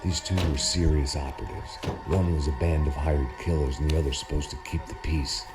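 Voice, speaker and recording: An actor playing a weary, cynical middle-aged ex-cop, narrating in a gravelly noir voice-over for a video game. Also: male voice, a bass voice, fairly even intonation, a little fast. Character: weary hard-bitten older male ex-cop. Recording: game audio with loud music.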